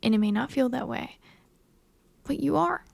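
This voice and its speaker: Woman, early 20s, casual vlogger. Higher-pitched with noticeable fry, candid and a bit self-conscious. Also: animated, moderate pace, a little mellow, dry recording. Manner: earnest, self-conscious, conversational. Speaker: vlogger, young filmmaker. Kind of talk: candid casual monologue to camera.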